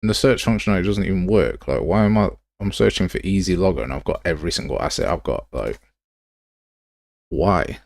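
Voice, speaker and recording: Man, low gravelly voice, animated pitch swings, grumbling, casual livestream commentary. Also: a little fast, no room sound. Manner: grumbling, thinking aloud. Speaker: livestream host. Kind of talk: casual livestream commentary while browsing software assets.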